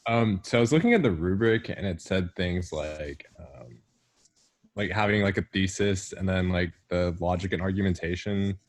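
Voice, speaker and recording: A man's voice: low voice, moderate pace. A young man, a college student, asking casual questions in class in a tentative way full of fillers. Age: young adult. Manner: tentative, filler-heavy with frequent "like".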